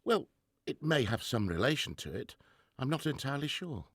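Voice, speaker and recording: A man's voice: medium pitch, big pitch swings, normal speed, slightly rough, dry recording, studio quality. An actor playing a stern, driven widowed professor and father in a 1920s radio drama, with a formal, precise delivery. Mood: grave, businesslike. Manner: formal, precise, clipped scolding. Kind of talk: radio drama acting, diary narration. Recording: music under the voice.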